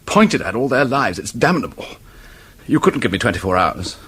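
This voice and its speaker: An actor playing a remorseful, middle-aged English office man confessing to murder, halting and stammering, in a radio drama. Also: mid-range voice, very animated, a bit bright, slight background noise. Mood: desperate pleading. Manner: halting, stammering, old-fashioned public-school phrasing.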